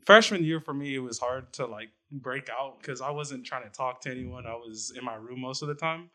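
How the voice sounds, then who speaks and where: Bright-voiced, hesitant young man. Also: medium pitch, normal speed. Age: young adult. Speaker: rapper guest. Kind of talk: casual radio interview.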